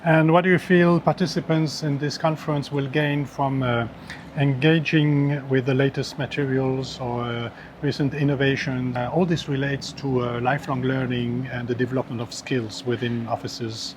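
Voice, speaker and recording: A man interviewing a guest at a conference, polite and halting with many fillers. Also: medium pitch, little pitch movement. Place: outdoors in a Venice palace by the canal. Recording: clear background noise outdoors.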